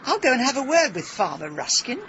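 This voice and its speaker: An actress playing a brisk, sharp-tongued middle-aged amateur sleuth in a radio drama. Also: female voice, fairly high pitch, very animated. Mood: dry. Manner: brisk, sardonic. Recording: dull, hissy radio drama recording.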